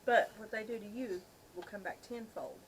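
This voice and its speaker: A woman's voice: medium pitch, moderate pace, a little hiss or hum, rough recording. A middle-aged woman chatting casually with a dry, joking manner. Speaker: video host. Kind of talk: casual chat.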